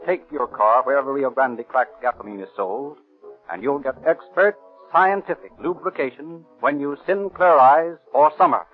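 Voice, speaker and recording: A man's voice: mid-range voice, normal speed, faint music behind. A man announcing and narrating in a formal, declamatory 1930s radio style. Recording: tinny band-limited 1930s radio recording.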